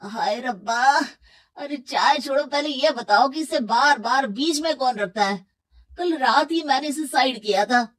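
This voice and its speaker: A woman's voice: high-pitched, measured pace, fairly bright tone. A woman voicing a jumpy, complaining young man in an audio story, exclaiming and grumbling. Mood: annoyed.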